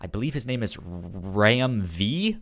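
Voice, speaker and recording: A man co-hosting in casual chat, halting and hesitant, with a stutter that repeats sounds and words. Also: fairly low pitch, expressive, fairly bright tone. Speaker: podcast co-host. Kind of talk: casual chat recommending comics and shows.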